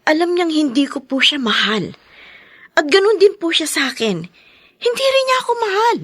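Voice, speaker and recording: An actress playing a proud, embittered young wife in an emotional, confrontational radio drama style. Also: female voice, very high voice, very animated, moderate pace, fairly bright tone, no room sound, clean studio sound, full character voice. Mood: bitter.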